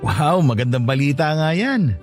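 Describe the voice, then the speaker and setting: A man's voice: on the high side, very animated, normal speed, music in the background. A man acting as an earnest, devoted grandson in a radio drama, questioning and emotional. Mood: puzzled.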